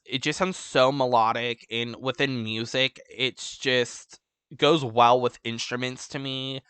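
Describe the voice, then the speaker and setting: Bright, crisp-voiced man rambling through a vlog. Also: mid-range voice, dry recording. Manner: rambling, full of ums and restarts; enthusiastic about languages. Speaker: language-learning channel host. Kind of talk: casual unscripted vlog update.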